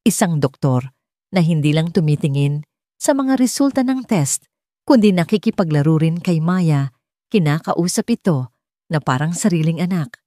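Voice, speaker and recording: A woman's voice: low-pitched, lively intonation, normal speed, dark tone, no echo, clean studio sound. A woman reading scripted narration for a dramatic audio story as its narrator.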